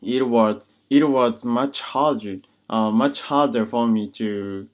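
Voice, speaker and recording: A man practicing English as a learner, with Japanese as his first language, talking hesitantly with many pauses and restarts. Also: medium pitch. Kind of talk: casual conversation practice.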